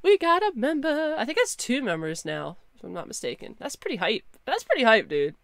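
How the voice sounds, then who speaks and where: A young woman streaming a game, chatting casually and excitably with her viewers through a headset, her voice slightly hoarse. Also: fairly high pitch, brisk, dry recording. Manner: chatty, excitable, talks to chat. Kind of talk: casual live-stream chat.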